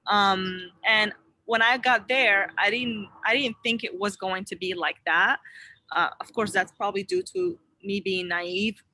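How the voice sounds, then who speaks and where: A woman's voice: mid-range voice, measured pace, pushed and bright, a little hiss or hum, rough recording. A young adult woman from Morocco, a wellness founder and interview guest, telling her story in an earnest, rambling way with many restarts. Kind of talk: casual interview talk. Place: online video interview.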